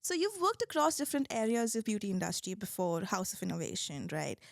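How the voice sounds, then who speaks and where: A woman's voice: medium pitch, big pitch swings, brisk, vocal fry, no room sound, studio quality. A young adult woman hosts a podcast, reading a scripted intro and then asking questions in an upbeat, encouraging manner. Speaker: podcast host. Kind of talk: podcast interview hosting.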